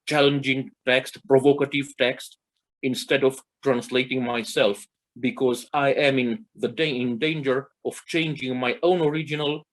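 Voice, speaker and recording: A man whose first language is Romanian, co-hosting a literary interview and pausing often to search for words. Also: medium pitch, fairly even intonation. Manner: halting, searching for words with many fillers. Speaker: co-host.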